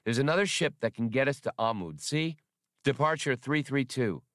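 A man voicing a sensible, sharp-tongued woman traveler who reasons with dry sarcasm, in an audiobook. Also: medium pitch, animated, moderate pace, dry recording, voice pushed up, lightly acted. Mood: firm, reasoning.

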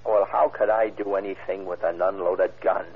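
Tough, sly male hold-up man, slangy and curt, very low husky voice, vintage radio crime drama. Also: steady tone, normal speed. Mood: wheedling, sly. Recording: tinny, muffled old radio recording.

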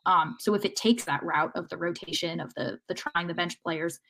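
A woman's voice: lowish voice, moderate pace, sharp tone, poor audio. A woman co-hosting a casual sports discussion. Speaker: podcast co-host.

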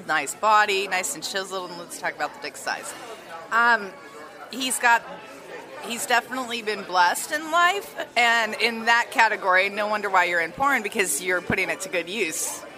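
A woman's voice: medium pitch, moderate pace, over music, crowd noise. Giggly flirtatious woman host. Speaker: podcast host. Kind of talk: casual chatty interview. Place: hotel restaurant.

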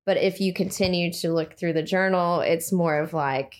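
A young woman with a quiet voice, talking hesitantly with many fillers. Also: on the low side, fairly even intonation, moderate pace. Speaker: guest. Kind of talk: casual conversation.

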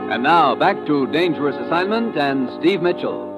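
A man's voice: a little high, lively intonation, moderate pace, close to an everyday voice. A man performing as a formal announcer on an old-time radio adventure show. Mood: brisk, formal. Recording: muffled vintage radio, loud music behind.

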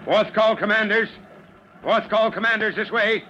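High-pitched authoritative fire chief. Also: male voice, steady tone, normal speed, pushed and bright. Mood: commanding. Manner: commanding, urgent. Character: authoritative fire chief rallying his men. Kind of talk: 1940s radio acting. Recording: noisy muffled old radio recording.

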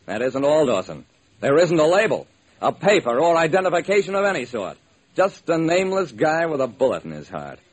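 A man's voice: a little high, sing-song, a little fast, husky. An actor playing a hard-boiled private detective, narrating his case with dramatic, wry deliberation in old-time radio style. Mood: matter-of-fact. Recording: noisy old radio recording.

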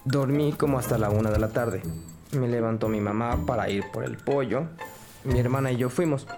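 Un hombre como adolescente rebelde, hosco, con música fuerte. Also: male voice, mid-range voice, normal speed, over music, natural voice. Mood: defiant, curt. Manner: terse, slangy, defiant. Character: sullen, rebellious teenage boy, curt and guarded. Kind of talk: dramatized audio crime story.

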